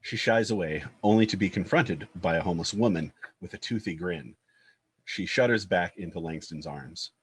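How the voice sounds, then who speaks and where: A man's voice: sits low, normal speed, husky, no echo. A man reading screenplay stage directions as a scripted narrator.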